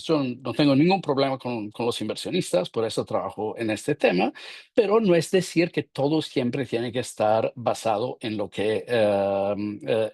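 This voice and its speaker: A middle-aged Italian man with an Italian accent, giving a webinar talk as a professor, speaking slowly with many fillers while he searches for words. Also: mid-range voice, vocal fry, darkish tone, no room sound, studio quality. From Italy. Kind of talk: webinar presentation.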